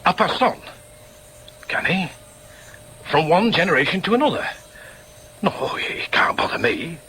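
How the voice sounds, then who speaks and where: An actor playing a proud, argumentative middle-aged socialist shop steward in a radio drama, with a Northern English accent and an emphatic, halting delivery. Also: male voice, a little high, big pitch swings, sharp tone, a little hiss or hum, heavily acted, rough recording. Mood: argumentative, insistent, then muttered, scheming. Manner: emphatic, halting repetitions, muttered inner asides. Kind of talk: naturalistic radio drama with inner asides.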